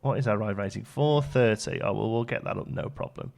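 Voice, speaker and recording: A man's voice: on the low side, a little fast, creaky, a little mellow. A man hosting a let's play, chatting casually and thinking aloud as the game goes along. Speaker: gaming video host.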